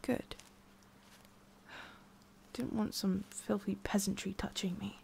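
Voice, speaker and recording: A woman voicing a haughty, prickly witch with a hidden soft side in an ASMR roleplay, soft and often whispery with a creaky edge. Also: medium pitch, fairly even intonation, slow pace, slight background noise. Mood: irritated, threatening. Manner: soft, often whispery, breathy sighs, curt asides.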